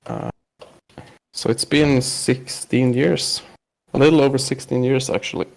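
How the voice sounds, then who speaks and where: A man in his thirties from Sweden with a Swedish accent, speaking English as a second language while down with a cold, answering interview questions in a halting way with many filler pauses. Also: mid-range voice, steady tone, gravelly, poor audio. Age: 30s. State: has a cold. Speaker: podcast guest. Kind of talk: casual interview chat.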